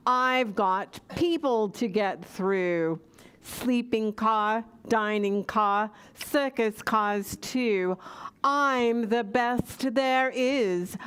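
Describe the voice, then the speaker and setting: A woman's voice: mid-range voice, clear, resonant tone. A woman playing a proud, vain passenger train in a children's audio theater show, haughty and dismissive.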